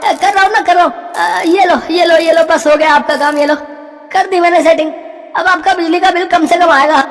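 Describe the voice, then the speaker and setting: A woman's voice: very high voice, moderate pace, in a large hall, pitched above the performer's own voice. A woman voicing a greedy, cheeky young male electrician who wheedles and giggles. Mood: wheedling.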